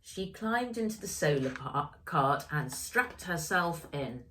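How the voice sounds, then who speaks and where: A woman's voice: low-pitched, animated, slow, bright, forceful tone, slight background noise. A woman narrating her own children's story expressively, speaking directly to young listeners. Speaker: author reading own story.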